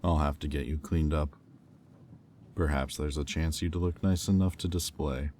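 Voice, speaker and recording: A man playing a haughty, menacing demon in roleplay audio, speaking in a condescending, deliberate, taunting drawl. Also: rumbling low, fairly even intonation, warm tone, slight background noise. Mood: threatening, taunting. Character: haughty, menacing male demon buyer, coolly cruel and mocking. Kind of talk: slow, intimate roleplay audio acting.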